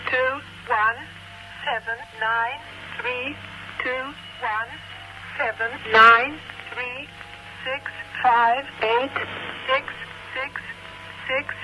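Very slow woman on noisy shortwave radio. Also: on the high side, steady tone, bright, forceful tone. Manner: mechanical, clipped word-by-word delivery. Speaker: numbers station announcer. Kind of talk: reading isolated digits on shortwave radio.

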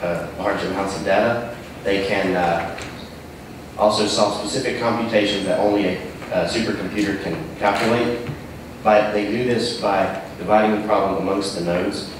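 A teenage American man with a fairly low voice gives a prepared student talk. He sounds distant, washed in the strong echo of an auditorium. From Virginia, United States. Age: teens, high school student. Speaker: student presenter. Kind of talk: prepared presentation. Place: large auditorium stage, far camera. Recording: very echoey hall, far mic, noisy.

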